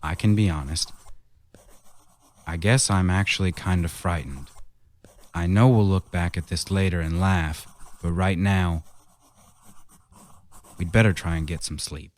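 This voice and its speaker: A low-pitched, uneasy husband, played by a man in a radio horror play. He sounds like someone confiding in a diary and brushing off fears he half believes. The delivery stays natural and conversational. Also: unhurried, pauses often, a little mellow. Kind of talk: radio horror drama acting with diary narration.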